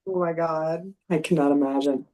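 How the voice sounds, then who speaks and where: A woman's voice: low-pitched, moderate pace, dark tone. Encouraging woman leading a writing circle. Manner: encouraging, loosely phrased with frequent restarts. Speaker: host and writing group leader. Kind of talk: guiding a spiritual writing workshop.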